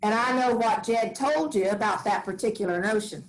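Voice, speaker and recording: An actress reading the part of a sharp-tongued farm matriarch in a staged play reading, curt and defiant. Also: female voice, mid-range voice, moderate pace, close to an everyday voice, poor audio. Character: hard-bitten farm matriarch, older woman, sharp-tongued.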